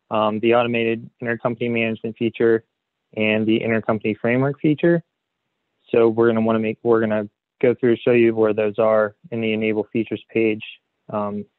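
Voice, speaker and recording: A man presenting a software webinar as a consultant, explaining slides in a hesitant way padded with "you know". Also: medium pitch. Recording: phone-like narrow band, roomy echo.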